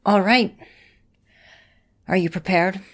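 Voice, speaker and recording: A woman voicing a caring, composed vampire mistress and mentor in an ASMR roleplay, speaking directly to the listener in measured, formal phrasing. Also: medium pitch. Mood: calm, instructive. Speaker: voice actor in a roleplay audio.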